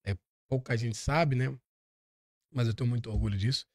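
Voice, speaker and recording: A man from Salvador in Bahia, Brazil, hosting a podcast in relaxed casual chat. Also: medium pitch, normal speed, dry recording, studio quality. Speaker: podcast host.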